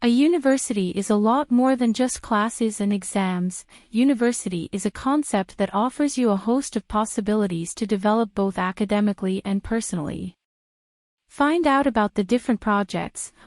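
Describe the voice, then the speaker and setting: A woman's voice: mid-range voice, normal speed, a little mellow, no echo, studio quality. A woman reading an exam practice passage aloud from a script. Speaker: reader of test practice texts.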